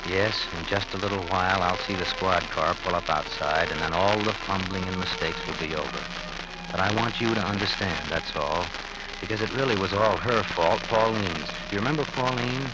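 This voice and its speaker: A man acting as a regretful, worn-down young American husband in 1940s radio drama. The voice is low and confessional. The old recording is thick with noise. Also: normal speed, close to an everyday voice. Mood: regretful, resigned.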